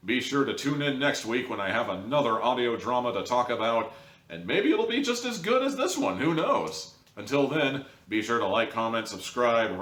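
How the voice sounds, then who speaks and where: A man's voice: medium pitch, fairly bright tone. A man giving a casual, opinionated review to his audience, conversational and emphatic, with wry asides. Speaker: audio drama reviewer, show host. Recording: roomy, distant microphone.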